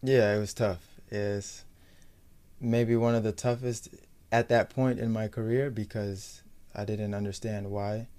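A young man from the United States, a professional footballer, talking casually and matter-of-factly in a sports interview, in a measured way. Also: on the low side, level tone, warm tone, clean tone. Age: young adult.

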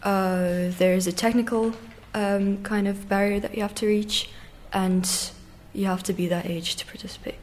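A woman in her late teens from Ireland and Russia, answering interview questions conversationally and pausing to find her words. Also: mid-range voice, fairly even intonation, a little mellow, a little hiss or hum. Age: teens, around 17. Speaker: interview guest, competitive figure skater.

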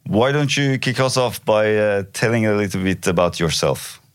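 A man's voice: fairly low pitch, lively intonation, normal speed, smooth, no echo, studio quality. A man hosting a company podcast, asking questions in a friendly, casual way with some fillers. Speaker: podcast host.

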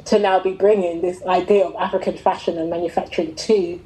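A middle-aged woman with a slightly low, very mellow voice. She answers interview questions conversationally, thinking aloud and reshaping her sentences as she tells her story. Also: little pitch movement, brisk, poor audio. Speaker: interviewee, fashion entrepreneur.

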